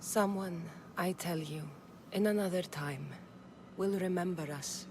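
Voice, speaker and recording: A woman's voice: on the low side, measured pace, background noise, natural voice. A woman voicing a tough, wry woman adventurer in an ancient Greek game cutscene. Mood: musing. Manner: dry, wry, self-assured. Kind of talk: video game cutscene voice acting.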